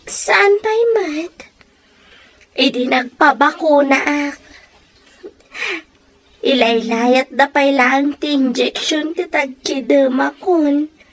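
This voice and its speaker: A woman's voice: sits high, takes it slowly, long pauses. A woman acting in an Ilocano comedy radio drama, with an Ilocano Filipino accent. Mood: nervous.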